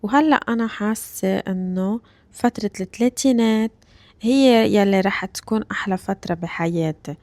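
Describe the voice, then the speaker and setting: A Lebanese woman in her thirties, with a Lebanese Arabic accent, talks slowly and carefully for language learners. She repeats her phrases so they sink in and pauses to check that listeners follow. Her pitch is medium and the recording is dry and close, with no room sound. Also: a little mellow, dry recording. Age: 30s. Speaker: Arabic teacher and podcast host. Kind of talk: slow, clear podcast lesson for learners.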